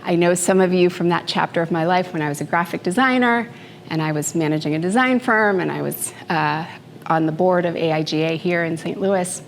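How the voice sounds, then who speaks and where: A woman in her fifties from the Philippines, giving a personal talk to a live audience in an unhurried, conversational way with fillers and asides. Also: fairly low pitch, fairly even intonation, slight background noise. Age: 50s. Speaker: speaker at a morning talk. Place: event room before an audience. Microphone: handheld microphone.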